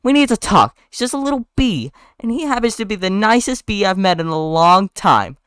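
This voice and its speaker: A man's voice: expressive, fairly quick, fairly bright tone, clean tone, dry recording, clean studio sound. A man voicing an earnest, protective young woman with a scolding manner. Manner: high-pitched, scolding.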